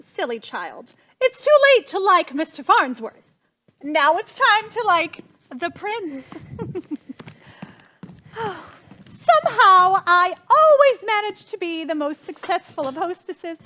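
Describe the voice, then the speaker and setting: A woman playing an excitable, overwrought society hostess and mother in a stage comedy, exclamatory and given to repeating herself. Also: very high-pitched, takes it slowly, exaggerated voice. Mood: triumphant. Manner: melodramatic, exclamatory, repeats phrases. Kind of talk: theatrical stage comedy acting.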